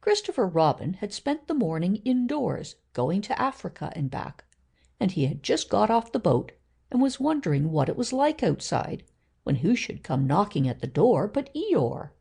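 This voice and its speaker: Creaky, expressive woman narrator. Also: mid-range voice, moderate pace. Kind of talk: scripted children's story narration.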